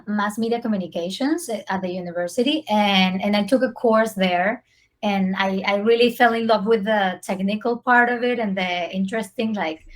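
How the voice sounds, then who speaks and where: Earnest woman from Venezuela, mid-pitch. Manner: warm, earnest, with small slips in phrasing. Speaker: photographer, podcast guest. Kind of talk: casual interview storytelling.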